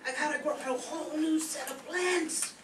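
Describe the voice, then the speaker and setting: A woman playing an easygoing, slow-witted male gardener in a radio soap parody, with a comic drawl. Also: high-pitched, noisy background, close to an everyday voice. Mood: bumbling, sly. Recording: distant echoey stage, audience present.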